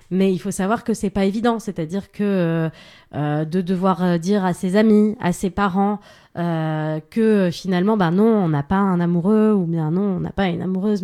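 A woman's voice: fairly low pitch, mellow. Earnest, hesitant woman on a radio panel. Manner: hesitant, lots of filler sounds; earnest, explanatory. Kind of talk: radio discussion.

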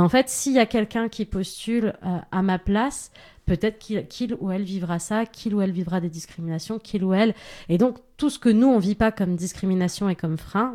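A woman's voice: mid-range voice, a little mellow. A woman speaking on a radio panel, explaining her points earnestly and hesitating often with filler sounds. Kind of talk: radio discussion.

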